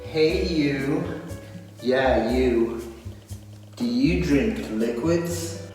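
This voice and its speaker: A man playing a pushy, over-excited pitchman in a parody TV advert, with dramatic delivery in an echoey hall. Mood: persuasive. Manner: dramatic, swinging from measured to shouting. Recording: echoey hall, distant, music behind.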